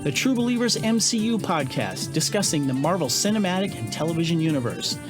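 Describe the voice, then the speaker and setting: A man with a fairly high voice delivers a scripted podcast promo. Music plays clearly behind his announcer-style read. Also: sing-song, a little fast.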